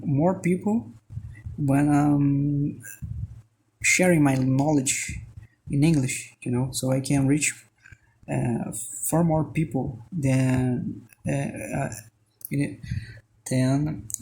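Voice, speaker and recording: A man's voice: fairly high pitch, warm tone, poor audio. A Brazilian man, a native Portuguese speaker with a Brazilian accent, rambling through a casual voice-over as he sketches, full of restarts and fillers, now and then joking at his own expense. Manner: joking, self-deprecating. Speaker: artist and channel host.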